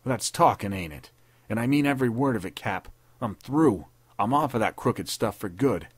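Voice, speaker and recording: A man's voice: mid-range voice, animated, normal speed, slightly rough, no echo, pitched above the performer's own voice. A man voicing a frightened young private, an ex-gangster who pleads and whines in street slang. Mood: pleading. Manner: whining, pleading, slangy, stammering.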